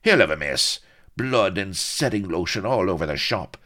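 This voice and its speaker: A man's voice: on the low side, huge pitch swings, unhurried, voice pushed up. A man voicing a bad-tempered, boastful rogue colonel in a comic audiobook, snappish and offhand.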